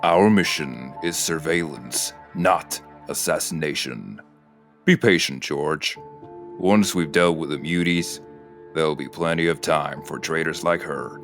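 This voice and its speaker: A man playing a calm, patient, menacing henchman in an audio drama, measured and commanding. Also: very deep voice, faint music behind, full character voice. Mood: cold, patient.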